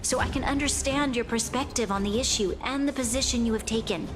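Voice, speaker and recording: A woman's voice: on the high side, a little fast, close to an everyday voice. An actress playing a composed, earnest young female city leader in a video game, speaking formally and diplomatically. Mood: earnest, diplomatic. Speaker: game character, guardian leader. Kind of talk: scripted video game voice acting. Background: game music.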